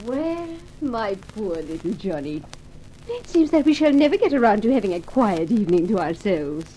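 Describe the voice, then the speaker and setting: A woman's voice: medium pitch, very animated, normal speed, mellow, husky, low-quality recording. A woman playing a glamorous, scheming, mocking femme fatale dancer in a 1950s radio thriller. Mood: mocking, cool. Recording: 1950s radio recording, faint noise.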